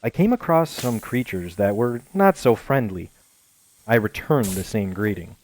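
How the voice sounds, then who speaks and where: A man narrating a first-person adventure story in a measured, calm storytelling manner. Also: fairly low pitch, poor audio. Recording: faint music behind voice.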